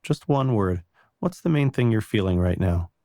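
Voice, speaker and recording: A man guiding a meditation in a calm, gentle and unhurried way, reassuring the listener with soft spoken instructions. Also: lowish voice, no room sound, studio quality. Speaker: meditation guide.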